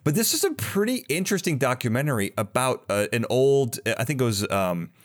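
A man's voice: medium pitch, big pitch swings, a little fast. Man hosting a casual, rambling interview. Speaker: podcast host interviewer.

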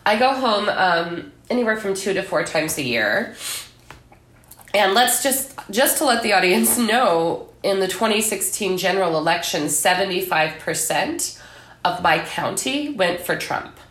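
A woman's voice: mid-range voice, animated, a little slow, a bit bright, echoey room. An American woman from southern Illinois, an author speaking as a podcast guest. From southern Illinois, United States. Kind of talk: literary reading and interview talk.

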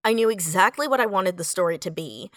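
A woman chatting casually as a writer on a podcast, telling stories in an animated, self-deprecating way. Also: mid-range voice, a little fast, no room sound, studio quality. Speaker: audio fiction writer, podcast guest. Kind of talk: casual conversational storytelling.

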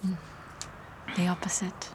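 A woman's voice: unhurried, very noisy, natural voice. An actress playing an affectionate, flirtatious woman in a naturalistic audio drama. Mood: tender, intimate. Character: affectionate, flirtatious woman, tender and bold with her friend.

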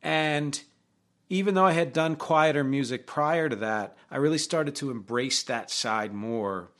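A man's voice: medium pitch, measured pace, fairly bright tone. Reflective man hosting a music show. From not from Glasgow. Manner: reflective, conversational, poses questions to viewers. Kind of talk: casual music commentary to camera.

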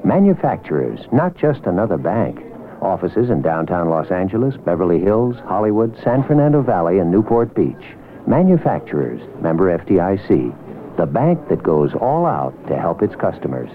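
A man's voice: low-pitched, big pitch swings, moderate pace, a little hiss or hum. A man announcing a radio advertisement in short, scripted phrases. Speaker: commercial announcer. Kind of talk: clipped scripted radio ad read. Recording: muffled 1970s radio broadcast.

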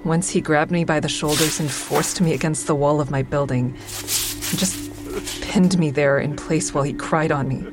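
A woman's voice: low-pitched, steady tone, normal speed, music in the background, lightly acted. An actress playing a wry, wary stand-up comic, narrating her life candidly in an audio drama. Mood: uneasy, tense. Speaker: lead character and narrator.

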